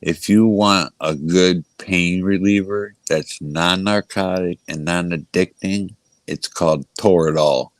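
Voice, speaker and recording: A man's voice: low voice, fairly even intonation, takes it slowly, no room sound. A middle-aged man in casual conversation, blunt and given to repeating himself. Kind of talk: casual chat.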